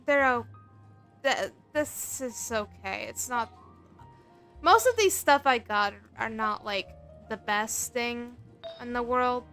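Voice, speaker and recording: Slightly high-voiced woman livestreamer. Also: expressive, slow, a bit bright, faint music behind. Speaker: game livestreamer. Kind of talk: casual livestream commentary.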